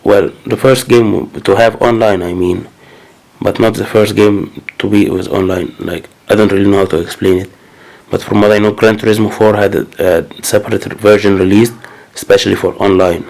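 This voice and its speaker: A man's voice: on the low side, fairly even intonation, moderate pace, gravelly, a little mellow, low-quality recording. A man with a cold, talking casually and hesitantly as he goes through his new game collection. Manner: hesitant, many fillers and restarts. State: sick with a cold. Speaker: gaming channel host. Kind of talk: casual unboxing commentary.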